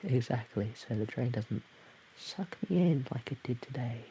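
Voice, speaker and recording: Man playing a shy, mellow slime guy, hissy recording. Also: medium pitch, expressive, moderate pace, lightly acted, low-quality recording. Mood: awkward, earnest. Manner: hesitant, bashful stammering. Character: shy, awkward, friendly young male slime creature. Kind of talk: audio roleplay addressed to the listener. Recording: hissy background noise.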